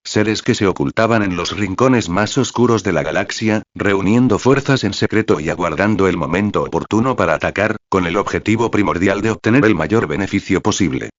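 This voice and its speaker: Man, very deep voice, steady audiobook narration, dry close sound, a bit muffled. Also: fairly quick, few pauses, no room sound. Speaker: narrator reading a fan novel.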